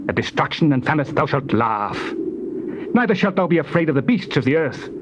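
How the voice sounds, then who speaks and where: An actor playing a solemn, admonishing friend in a dramatized Bible reading, theatrical and measured in delivery. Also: male voice, on the high side, very animated. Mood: exhorting. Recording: muffled, noisy old cassette tape.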